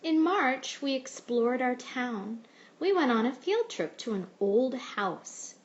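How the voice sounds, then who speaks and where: A woman reading a picture book aloud like a teacher, with expressive, measured storytelling. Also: sits high, muffled recording. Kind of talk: storybook read-aloud narration.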